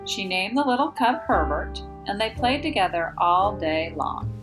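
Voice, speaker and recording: Female storybook reader, kindergarten-teacher delivery, medium pitch, deliberate emphasis, over prominent instrumental music. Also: expressive, low-quality recording. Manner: deliberate storytelling with emphasised, drawn-out key words. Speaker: kindergarten teacher reading a picture book aloud. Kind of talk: children's storybook read-aloud.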